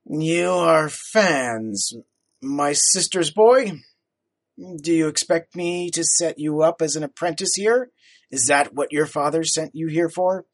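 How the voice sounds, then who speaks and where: A man reading a story aloud, voicing a stern, miserly older businessman with a raspy, growling voice. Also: on the high side, voice pushed up. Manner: stern, curt, slow.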